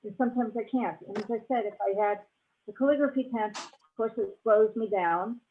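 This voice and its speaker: A woman speaks on a panel over a video call, her voice thin and boxy as if squeezed through a phone line. She talks in a chatty, easygoing way, restarting sentences and laughing often, at a middling pitch for a woman. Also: fairly quick, slight background noise. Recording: tinny phone-like audio.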